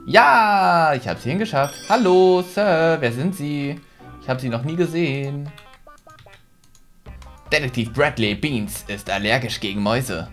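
A man's voice: fairly high pitch, a little slow. A young man giving chatty, casual let's play commentary on a headset mic, thinking aloud as he goes. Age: young adult. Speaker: gaming YouTuber, host. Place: small decorated gaming room, indoors. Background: game music.

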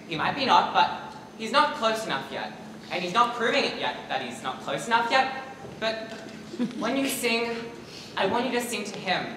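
A young man on stage playing an earnest, encouraging male drama teacher in a high school play, recorded from the audience. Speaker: high school student actor. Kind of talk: amateur stage play acting. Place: theater stage in large auditorium. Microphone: far camera in audience. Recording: echoey auditorium, distant camera, loud room noise.

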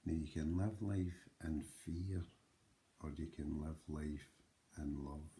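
A man's voice: extremely low pitch, little pitch movement, warm tone. A Scottish man in his forties, talking earnestly to camera as a channel host in a small room, emphatic and often checking in with "right?". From Scotland. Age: 40s. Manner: slow, emphatic, with frequent "right?" asides. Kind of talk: earnest motivational talk to camera. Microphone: webcam or phone close up.

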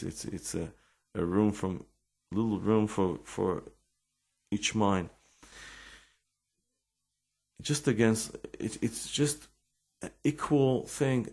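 A middle-aged Israeli man with an accent, telling his life story in halting English full of restarts and fillers. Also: lowish voice, a little mellow. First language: Hebrew. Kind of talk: personal storytelling and explanation.

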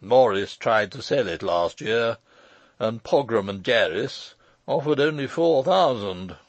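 Pompous old gentleman, played by a male reader: fussy, pedantic, aggrieved, lively pitch swings, dry close sound. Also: a little slow, dry recording. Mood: bitter, pedantic. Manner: pedantic, formal, long-winded, hesitating with ums.

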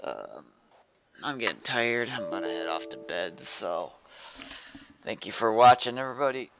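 A man's voice: on the low side, sing-song, moderate pace, a bit bright, rough recording. A man playing an online roleplay game and streaming it, talking in casual in-game chat. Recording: faint background noise, game vehicle sounds.

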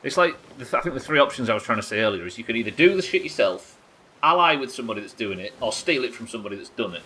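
British guy live streaming games, sharp bright voice, rambly and sweary, bit of noise behind him. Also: mid-range voice, lively intonation, rapid-fire, poor audio. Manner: sweary, rambling, self-questioning. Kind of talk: casual gameplay commentary, chatting to viewers. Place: small indoor streaming room.

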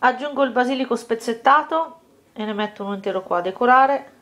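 A woman's voice: fairly high pitch, brisk, a bit bright. Woman chatting through a home recipe. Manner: chatty, step-by-step instructions to viewers. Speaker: cooking vlogger. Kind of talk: casual cooking demonstration. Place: home kitchen table, indoors.

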